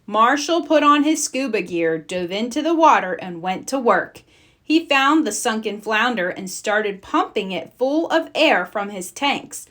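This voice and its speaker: A woman narrating a children's storybook in an animated read-aloud style. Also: on the high side, unhurried. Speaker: storybook reader.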